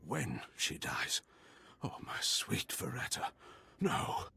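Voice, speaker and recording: An actor playing a middle-aged Imperial admiral in a video game, a formal but anguished father with a husky voice. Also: fairly low pitch, huge pitch swings, slow pace, sharp tone, whispered, exaggerated voice. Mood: grieving, relieved. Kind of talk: dramatic game voice acting.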